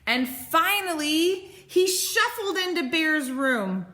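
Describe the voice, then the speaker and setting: A woman in her thirties reading a picture book aloud as a teacher, expressive and sing-song, explaining simply for children. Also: very high-pitched, slow. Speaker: teacher reading to young pupils.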